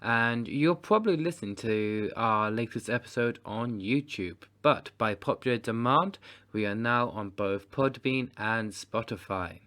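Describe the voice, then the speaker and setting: A young man co-hosting a podcast, chatting in an upbeat, casual way. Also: medium pitch. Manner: upbeat, chatty, quick shout-outs. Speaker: podcast co-host. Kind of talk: casual chat.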